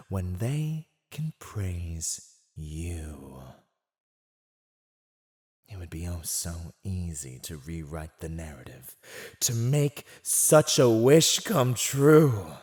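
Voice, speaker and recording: Man playing a low, silver-tongued teasing villain. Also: darkish tone, exaggerated voice. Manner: condescending, theatrical, seductively persuasive. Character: silver-tongued, teasing male villain gloating over a captive. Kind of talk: scripted ASMR roleplay acting.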